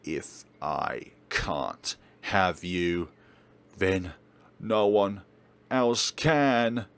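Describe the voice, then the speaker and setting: Man voicing a slow, deranged, possessive schoolgirl. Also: low voice, fairly bright tone, clean tone, heavily acted. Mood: possessive rage. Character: deranged, possessive high-school girl turned killer. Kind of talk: horror visual novel voice acting.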